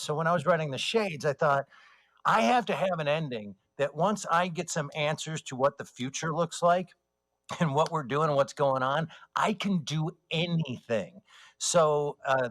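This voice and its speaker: A man's voice: on the high side, fairly bright tone. A man chatting casually as an interview guest, joking and often starting his sentences over. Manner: joking, halting with false starts. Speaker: radio theater writer and voice actor, interview guest. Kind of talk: casual chat.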